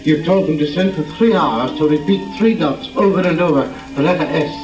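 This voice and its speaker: A man's voice: on the high side, a little fast, recorded from a distance, music in the background, lightly acted. An actor playing an eager, deferential male assistant in 1930s radio drama, with emphatic stage delivery. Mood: concerned, respectful. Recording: old radio recording, echoey playback from afar.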